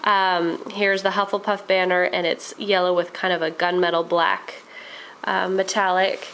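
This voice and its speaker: A woman's voice: lowish voice, little pitch movement, unhurried, a bit bright, a little hiss or hum, poor audio. A young woman chatting enthusiastically about her crafts with lots of ums, a little nasal while getting over a cold. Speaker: crafting vlogger. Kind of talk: casual show-and-tell vlog.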